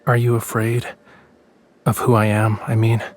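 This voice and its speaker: Brooding young romantic lead for a game, lowish intimate voice, teasing, clear music underneath. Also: male voice, monotone, a little slow. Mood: tender, brooding. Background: music behind the voice.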